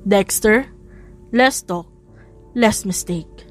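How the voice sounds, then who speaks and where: A woman's voice: medium pitch, extremely slow. A woman voicing a firm, cautioning personal assistant who instructs a young heir in an audiobook drama. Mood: reminding. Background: music.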